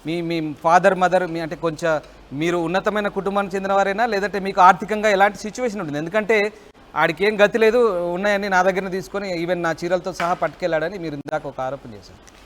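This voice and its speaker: A man's voice: sits high, fairly even intonation, fast, a bit bright, slight background noise. A man anchoring a live news interview, pressing and rephrasing his questions insistently.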